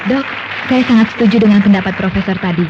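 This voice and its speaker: A woman's voice: medium pitch, fast talker, crowd noise, close to an everyday voice. An actress playing a keen, earnest woman doctor making a persuasive case in a scripted radio advertisement. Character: female doctor, keen, persuasive product advocate. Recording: dull radio recording with faint noise.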